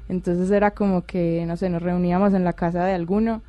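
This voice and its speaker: A woman's voice: on the low side, level tone, brisk, warm tone, dry recording. A woman in her twenties with a Colombian accent, answering interview questions in an informal, hesitant way as a musician guest. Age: 20s. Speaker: orchestral violinist, interview guest. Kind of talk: casual, informal interview talk.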